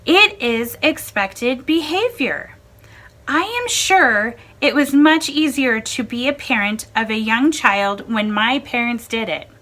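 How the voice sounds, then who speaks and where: A woman reading a first-person personal essay aloud in a measured, expressive way. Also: on the high side.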